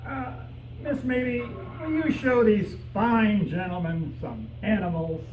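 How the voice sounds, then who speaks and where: A distant, noisy recording of a man acting an impatient boss. His voice is high and full of baffled officiousness, in radio comedy style. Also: a little slow, a little mellow. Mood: officious. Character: baffled, impatient male animal-control supervisor. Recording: echoey, noisy room, distant mic.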